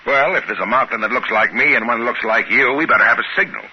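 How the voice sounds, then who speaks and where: A man's voice: mid-range voice, fast talker, breathy edge, close to an everyday voice. An actor playing a shrewd, suspicious trading-post man speaking in a conspiratorial way in a 1950s radio drama. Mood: scheming, uneasy. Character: shrewd, suspicious male trading-post partner hatching a plan. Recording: hissy, muffled 1950s radio recording.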